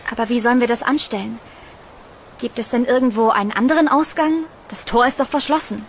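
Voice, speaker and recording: A kind but anxious young woman, played by an actress, high-pitched and earnest. She is the trusting heroine of a horror audio drama, expressive, over faint ambient noise. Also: a little fast, close to an everyday voice. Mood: hopeful.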